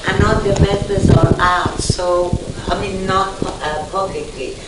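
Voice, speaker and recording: A woman's voice: mid-range voice, fairly even intonation, a little slow, very noisy. A woman from Iran with an Iranian accent, asking a question from the audience, hesitant and searching for words. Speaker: audience member. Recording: distant audience mic in an echoey hall.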